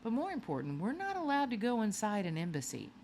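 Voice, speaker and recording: A woman voicing a calm, businesslike male FBI agent in a children's storybook, matter-of-fact and questioning. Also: medium pitch, fast, a little hiss or hum, voice pushed up. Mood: firm.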